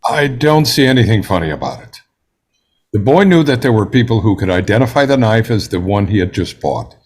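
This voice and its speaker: A man's voice: fairly low pitch, animated, fairly quick, a little mellow. A man playing a stern, logical, humorless juror with a matter-of-fact manner at a dramatic table read.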